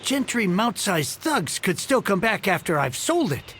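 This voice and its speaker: A man's voice: sits high, big pitch swings, a little slow, a bit bright, a little hiss or hum, full character voice. A man playing an anxious, easily flustered merchant in a video game, with a stammering delivery. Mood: astonished. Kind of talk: video game character acting.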